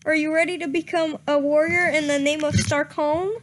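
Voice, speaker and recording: A woman's voice: high-pitched, flat delivery, moderate pace, background noise. A young woman streaming a comedic dramatic reading of fan fiction, giggling and stumbling over misread words.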